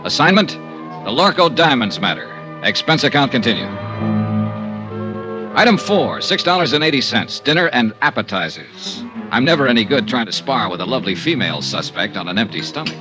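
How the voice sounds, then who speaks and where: A male actor plays a wry American investigator from a vintage noir radio serial. His pitch swings freely as he wisecracks and flirts, sardonic and sure of himself. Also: very animated, normal speed, over music, natural voice. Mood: brisk, dry. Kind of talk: 1950s noir radio acting and narration.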